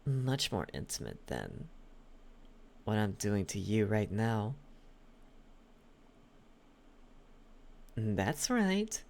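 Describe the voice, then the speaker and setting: A man playing a possessive, lovesick demon butler in an intimate ASMR roleplay, coaxing with a halting delivery and lingering pauses. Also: fairly low pitch, huge pitch swings, vocal fry, darkish tone, heavily acted. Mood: insistent, coaxing.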